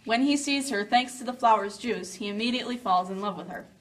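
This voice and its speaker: A woman's voice: on the high side, fast, faint music behind. Woman narrator, slightly distant, playful. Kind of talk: scripted story narration with playful asides. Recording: slightly distant, faint background noise.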